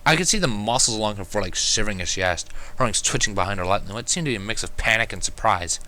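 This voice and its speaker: A man's voice: fairly low pitch, lively intonation, very fast, pushed and bright. A man reading a first-person fan fiction story aloud as scripted narration.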